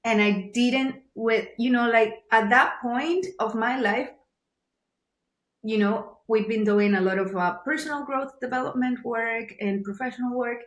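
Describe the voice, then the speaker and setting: A woman's voice: a little high, a little slow, echoey room. A woman from Venezuela with a Venezuelan Spanish accent, a native Spanish speaker, talking earnestly as a podcast guest and often searching for her words. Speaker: guest, journalist. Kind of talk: casual podcast interview.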